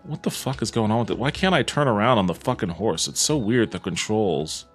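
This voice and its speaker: Male game streamer, lower register, off-the-cuff and casually profane, faint music underneath. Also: animated, a little fast. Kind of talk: casual livestream chat while gaming.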